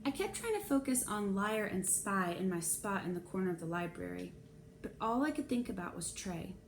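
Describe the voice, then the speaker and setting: A woman's voice: mid-range voice, very animated, normal speed. A woman narrating a children's novel aloud in a storytelling read-aloud style. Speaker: storybook reader. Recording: clear background noise.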